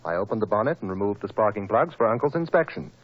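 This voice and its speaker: A man's voice: fairly low pitch, expressive, fast, rough recording. A man playing a scheming young nephew with clipped speech, in a 1940s radio mystery style. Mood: polite, composed. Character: scheming young male murderer, nephew posing as cooperative. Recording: old 1940s radio recording.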